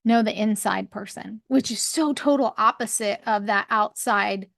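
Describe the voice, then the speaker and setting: A woman with a higher voice, co-hosting a podcast and talking openly in a rambling, candid way. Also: moderate pace, gravelly, fairly bright tone, dry recording, clean studio sound. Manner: rambling, restarts, laughs often. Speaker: podcast co-host. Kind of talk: candid conversational chat.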